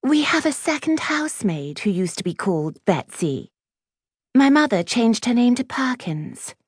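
A haughty schoolgirl of high birth, acted by a woman narrator, speaks in a raised, swooping voice. She is prim, spiteful and cutting, in storybook style. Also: big pitch swings, measured pace, slightly rough, no room sound, studio quality, full character voice. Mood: smugly snide. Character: haughty, spiteful young lady of quality, a schoolgirl.

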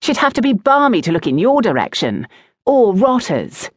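Woman acting highborn schoolboy, fifteen, lordly, curt, defensive, lively intonation, storybook dialogue. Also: normal speed, husky, no room sound, clean studio sound. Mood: angry. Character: indignant, haughty fifteen-year-old aristocratic schoolboy.

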